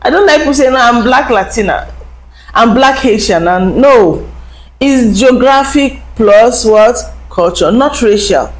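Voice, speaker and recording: A woman's voice: on the high side, lively intonation, a little slow, rough recording. Woman explaining to viewers. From Africa. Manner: lecturing, asks rhetorical questions. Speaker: host.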